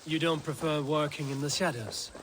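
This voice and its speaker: An actor playing a plain-spoken Viking warrior leader in a video game, terse, dry and wary. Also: male voice, a little high, normal speed, close to an everyday voice. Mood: dry, skeptical. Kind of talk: dramatic video game dialogue. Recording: noisy game audio.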